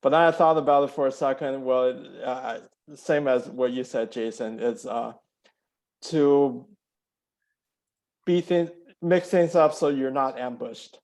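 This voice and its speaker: A man in a casual group discussion who talks quickly and modestly, with some uh fillers. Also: medium pitch, steady tone, a little mellow. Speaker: discussion participant.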